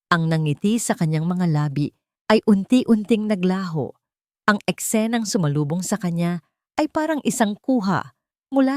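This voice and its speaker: A woman with a low-pitched voice narrates a dramatic audiobook. Her delivery is measured and suspenseful, and the sound is dry and close, with no room around it. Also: a little mellow, no echo. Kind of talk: dramatic scripted story narration.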